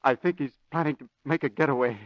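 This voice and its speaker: A man's voice: mid-range voice, fast talker, highs cut off, close to an everyday voice. An actor playing a nervous, guilty bank man in an old radio mystery, cowed and pleading. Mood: terrified pleading.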